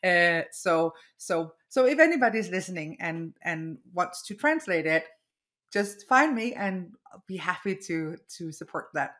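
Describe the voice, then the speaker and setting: A woman's voice: lowish voice, lively intonation, a little slow, a bit bright. A woman whose first language is Danish, a therapist and author talking casually as an interview guest, often laughing and repeating words.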